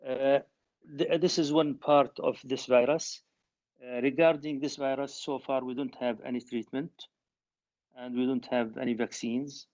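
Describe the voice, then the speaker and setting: A male professor of middle pitch delivers a conference talk, measured and halting, pausing often on fillers. The sound is dull and lo-fi, like a video call. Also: fairly even intonation, darkish tone. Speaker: professor, panel speaker. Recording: muffled lo-fi call audio.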